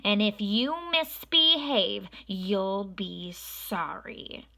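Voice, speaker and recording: A woman's voice: mid-range voice, animated, extremely slow, creaky, sharp tone, heavily acted. A woman voicing a stern, menacing substitute teacher who snaps out commands in a children's storybook. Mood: commanding, threatening. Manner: snapping, commanding, unpleasant. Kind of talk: children's storybook read-aloud.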